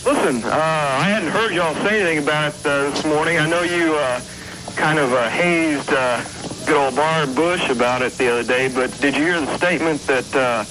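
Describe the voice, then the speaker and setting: Halting male caller on noisy phone. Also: on the high side. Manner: hesitant, halting. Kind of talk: casual call-in chat. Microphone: telephone. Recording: noisy phone call on AM radio.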